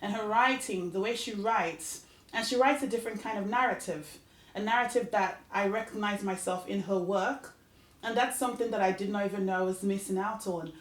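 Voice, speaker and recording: Brief: woman from Nigeria, mid pitch, earnest and fluent, echoey room. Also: a little fast. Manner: earnest, flowing storytelling. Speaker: data scientist guest. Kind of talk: interview answers.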